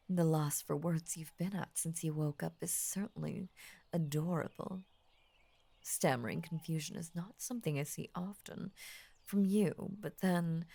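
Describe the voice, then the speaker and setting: Low, slow, possessive lamia woman, acted by a woman. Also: full character voice. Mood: teasing, admiring. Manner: soft, breathy near-whispers, halting, grandiose formal phrasing. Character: possessive, adoring yandere lamia woman, ancient, vain, murderously devoted. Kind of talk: intimate roleplay audio acting.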